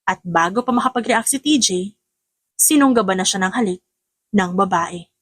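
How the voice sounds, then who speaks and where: A woman's voice: medium pitch, animated, normal speed, fairly bright tone, no room sound, studio quality. A woman with a Filipino accent reading a scripted romance story as an audiobook narrator.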